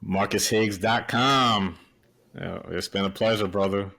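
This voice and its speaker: A man hosting a casual podcast, relaxed and affirming, often answering his guest with short agreements. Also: lowish voice, unhurried, vocal fry. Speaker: podcast host.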